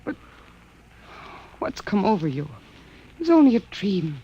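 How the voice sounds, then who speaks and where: An actress playing a wistful, hesitant Martian wife in an old radio drama. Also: female voice, medium pitch, big pitch swings, unhurried, dark tone, husky. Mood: soothing, uneasy. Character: dreamy, wistful Martian wife, restless and evasive. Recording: dull, lo-fi old radio recording.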